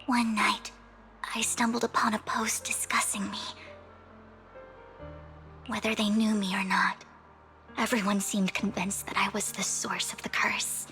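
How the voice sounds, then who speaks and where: A woman voicing a devoted, formal young woman in a video game cutscene, speaking in a hushed, breathy way. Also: medium pitch, little pitch movement, measured pace, long pauses, soft background music. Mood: whispered confession. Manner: hushed, breathy confiding delivery.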